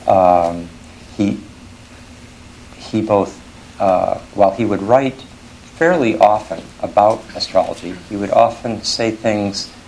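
An older man from Switzerland giving an academic lecture in a scholarly, halting way, often correcting himself mid-phrase. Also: low voice, creaky, a little mellow, a little hiss or hum, poor audio.

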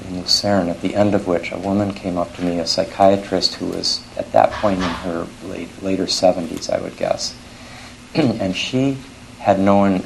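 An older man from Switzerland giving an academic lecture in a scholarly, halting way, often correcting himself mid-phrase. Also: deep voice, vocal fry, a little hiss or hum, rough recording.

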